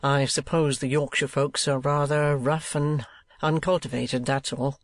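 A performer voicing an earnest, polite young gentleman from a Victorian novel, sincere and quick to speak. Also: medium pitch, level tone, no echo, lightly acted. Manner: quick, well-spoken, sincere.

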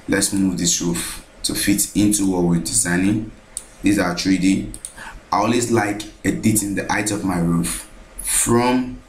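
Slow, low-voiced man narrating a screen tutorial. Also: roomy sound, low-quality recording. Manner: steady, repetitive step-by-step narration, thinking aloud. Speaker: architecture software tutor.

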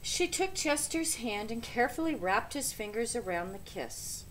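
A middle-aged or older woman reading a children's story aloud in an expressive, deliberate way. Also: a little high, slight background noise, rough recording. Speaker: storybook reader. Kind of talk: children's read-aloud narration. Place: small indoor room with bookshelf.

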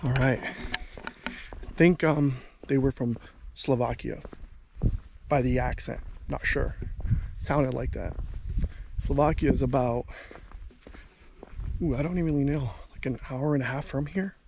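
A man's voice: mid-range voice, a little slow, vocal fry, long pauses, background noise. A man in his thirties casually vlogging outdoors while walking along a country road, rambling and thinking aloud. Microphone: handheld camera at arm's length.

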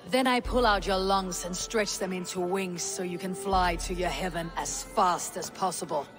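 Guy doing blunt fierce viking in a game, loud score behind. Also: high voice, steady tone, normal speed, lightly acted. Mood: menacing. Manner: terse, threatening. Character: fierce, blunt Viking warrior, menacing and proud. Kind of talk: video game cutscene acting. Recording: music behind the voice.

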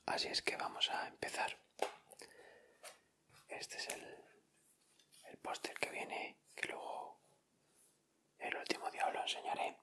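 A man with an accent from Spain, speaking in a breathy, unvoiced whisper as he gives casual ASMR commentary. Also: unhurried, pauses often. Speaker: ASMR video host. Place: indoors at a table.

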